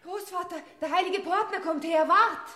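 An actress playing an urgently calling young granddaughter in a radio play, with a Bavarian accent. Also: female voice, very high-pitched, moderate pace, bright, forceful tone, roomy sound, long reverb tail. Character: Marei, breathless young granddaughter.

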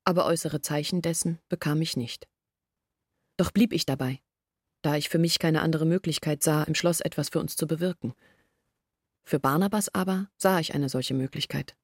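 An actress playing an earnest woman who confesses her family's failures in a reflective, measured monologue from a literary radio play. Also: sits low, husky, no echo, clean studio sound.

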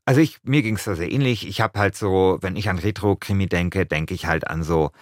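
A man's voice: sits low, a little fast, no echo, clean studio sound. A man speaking as a podcast co-host, moving between casual chat and scripted narration.

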